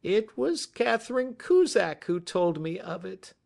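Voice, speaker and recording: Nervous small-time male villain for classic storybook. Shrill, thin, quavering, agitated and cringing. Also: big pitch swings, unhurried, creaky, darkish tone, full character voice. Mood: broken, frightened. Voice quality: high, thin, quavering, cracking. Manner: agitated, deferential.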